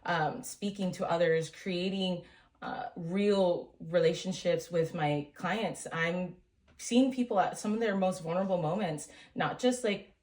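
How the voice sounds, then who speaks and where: A woman's voice: lowish voice, measured pace. A woman, a small business owner, speaking as a guest in a casual interview, earnest and full of ums and likes. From United States. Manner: earnest, warm storytelling with many fillers.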